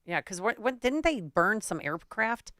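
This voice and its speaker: A woman co-hosting a talk radio show, asking brisk questions of a guest. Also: medium pitch, big pitch swings, pushed and bright, no room sound, clean studio sound. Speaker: radio co-host.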